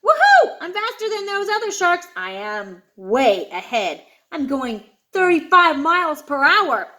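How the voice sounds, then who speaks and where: Woman voicing an eager, high-pitched storybook shark. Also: huge pitch swings, unhurried, bright, forceful tone, full character voice. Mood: excited, boastful. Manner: eager, showy, exclamatory.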